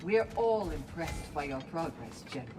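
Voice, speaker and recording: Ancient warrior woman for game: lowish, lively intonation, formal and inquisitive, distant with noisy ambience. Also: normal speed. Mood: courteous, intrigued. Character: dignified ancient warrior woman, courteous and curious. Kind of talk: dramatic video game acting.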